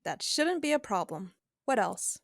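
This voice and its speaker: Woman acting an earnest, high-voiced young hacker. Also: very animated, measured pace, creaky, no echo, studio quality, heavily acted. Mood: wary, candid. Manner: candid, conversational. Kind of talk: audio drama acting.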